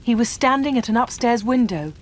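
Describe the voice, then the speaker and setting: A woman reading a story aloud for English learners, in a clear, measured way. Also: on the high side, animated, low-quality recording. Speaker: audiobook narrator.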